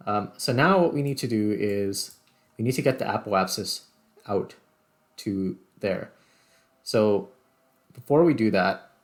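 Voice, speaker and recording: A man giving casual gameplay commentary, thinking aloud in short, halting phrases. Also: on the low side. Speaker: gaming video host.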